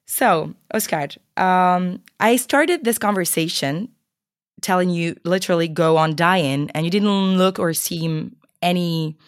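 A woman's voice: on the low side, a little slow, dry recording, studio quality, faint music behind. A woman hosting a podcast interview in a chatty, partly scripted style, a bit sick. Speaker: podcast host.

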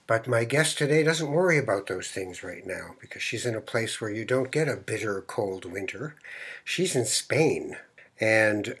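Husky man hosting a relaxed interview. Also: lowish voice. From Morocco. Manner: relaxed, meandering, with frequent fillers. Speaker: podcast host. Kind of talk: conversational interview.

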